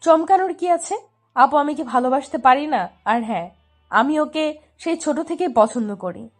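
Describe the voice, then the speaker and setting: Casting: woman to play stubborn, lovestruck little sister, high voice, petulant and defiant, romance audio story. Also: animated, moderate pace, soft background music. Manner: pouting, defiant.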